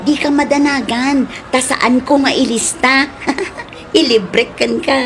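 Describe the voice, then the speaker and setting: A woman's voice: high-pitched, steady tone, slow pace, a little hiss or hum. A woman voice-acting a chatty, exclamatory character in a comedy drama, with a Filipino accent. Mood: coaxing, giggly.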